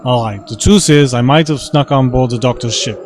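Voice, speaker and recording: A man's voice: medium pitch, a little fast, darkish tone, a little hiss or hum, lightly acted. A man playing a wry, insecure adventuring companion in a science fiction audio drama, with a dry, sardonic delivery.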